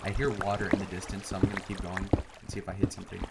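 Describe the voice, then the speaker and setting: A man's voice: sits low, monotone, rapid-fire, creaky. A young man giving rambling, filler-heavy commentary while he plays a game. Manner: meandering, full of fillers and restarts. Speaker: gaming video creator. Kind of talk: rambling commentary over gameplay. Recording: loud background noise.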